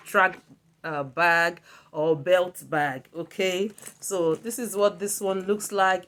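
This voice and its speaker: A woman's voice: fairly low pitch, fairly bright tone. A woman with a Nigerian accent gives a casual product review as a video blogger, often repeating words for emphasis.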